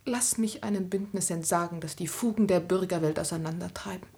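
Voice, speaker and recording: An actress playing a dutiful, anguished young woman in love in a classic German tragedy for radio, breathy and solemn, often near a whisper. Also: on the low side, a little fast, darkish tone, close to an everyday voice. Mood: tender, pleading.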